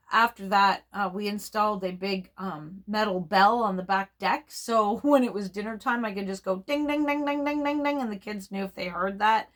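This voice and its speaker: Hoarse chatty woman in her fifties. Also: medium pitch, fairly bright tone. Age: 50s. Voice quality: froggy. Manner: rambling, laughs often. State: hoarse, strained voice. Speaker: reborn doll vlogger. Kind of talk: casual chatty storytelling.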